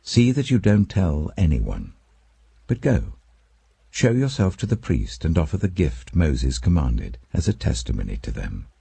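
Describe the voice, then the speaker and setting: A British man voicing a calm, authoritative holy teacher in a scripture audiobook, speaking gravely and deliberately. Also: a bass voice, no echo, heavily acted. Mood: gentle command.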